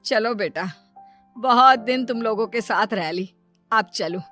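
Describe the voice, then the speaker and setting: A woman voicing a sulky, scolding older mother-in-law in a cartoon story, sharp and nagging. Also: a little high, unhurried, faint music behind.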